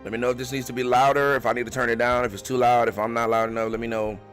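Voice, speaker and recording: A man's voice: mid-range voice, flat delivery, very fast, a bit bright, soft background music. A man hosting a reaction live stream, chatting in an informal, rambling, self-effacing way. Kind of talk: casual live stream chat.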